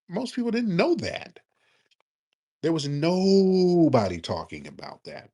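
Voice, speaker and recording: A man's voice: lowish voice, husky. A man hosting a live call-in show, talking bluntly and challenging his callers. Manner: blunt, challenging, animated emphasis. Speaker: host taking callers.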